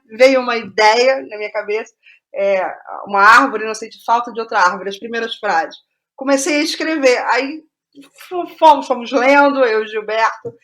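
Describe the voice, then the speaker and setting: Brazilian woman, higher-pitched, thinking aloud. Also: fairly bright tone. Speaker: interview guest, playwright. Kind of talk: casual conversational interview about writing process.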